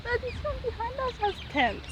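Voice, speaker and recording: A woman's voice: very high-pitched, sing-song, fairly quick. A woman, chatting casually and giggly for an outdoor vlog. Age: 20s. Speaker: vlogger. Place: outdoors at a riverside campground. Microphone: handheld camera at arm's length. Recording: noisy outdoor camera, stream and ambience.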